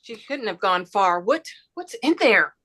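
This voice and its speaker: A woman playing a frazzled journalist, halting and awkward as she improvises, in a stage comedy read. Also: mid-range voice, big pitch swings, bright, forceful tone, full character voice. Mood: panicked. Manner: flustered, halting.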